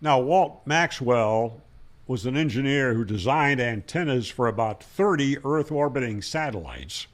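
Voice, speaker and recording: A man's voice: medium pitch, expressive, a little slow. A man hosting a hobby radio channel, explaining and telling stories in a folksy, conversational way. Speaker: ham radio channel host. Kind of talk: explanatory storytelling to camera.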